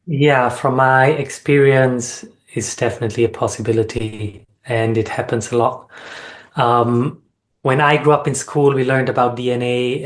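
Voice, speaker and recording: A man from Austria speaking as an interview guest, reflecting on personal experience in an earnest, measured way with deliberate phrasing. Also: mid-range voice. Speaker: sound healing facilitator and interview guest.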